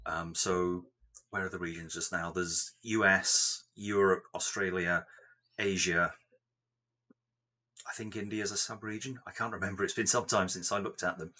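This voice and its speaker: Male tech interviewee, deep register with a forward, bright edge, explaining carefully and in measured phrases. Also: fairly even intonation. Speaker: software developer being interviewed.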